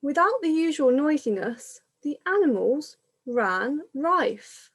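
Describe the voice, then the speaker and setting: A woman, a primary school teacher, reading a picture book aloud to young children in a measured, explanatory manner. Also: high-pitched, big pitch swings.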